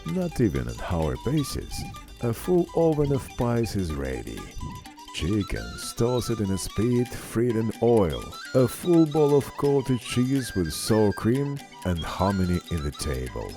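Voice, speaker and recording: A man narrating a children's fairy tale in an expressive, scripted storytelling style. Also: mid-range voice, slow pace, music in the background.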